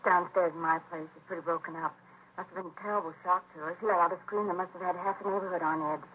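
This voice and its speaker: A woman's voice: lowish voice, steady tone, fast talker, a little hiss or hum, natural voice. A woman playing a chatty, flustered middle-aged landlady in a 1950s radio drama, with a rambling, folksy, gossipy manner. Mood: talkative, fretful. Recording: muffled vintage radio broadcast, phone-like band.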